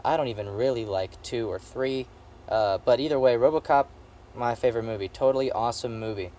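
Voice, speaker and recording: Male amateur film critic, mid-range voice; halting, repetitive, sweary, casual comedic delivery. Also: medium pitch, mellow. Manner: halting, repeats phrases emphatically, profane. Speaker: amateur movie reviewer. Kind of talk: rambling casual comedic movie review.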